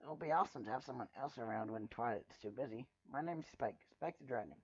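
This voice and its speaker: A man voicing an eager, friendly young male dragon with an excitable, chummy manner in a storybook reading. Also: mid-range voice, fairly quick, slightly rough. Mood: excited, friendly. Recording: muffled, roomy home recording.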